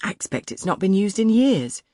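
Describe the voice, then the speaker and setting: A reader voicing a fretful young maidservant who chatters anxiously, much higher than the narration. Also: female voice, very animated, normal speed, dark tone, no room sound, studio quality. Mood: wary.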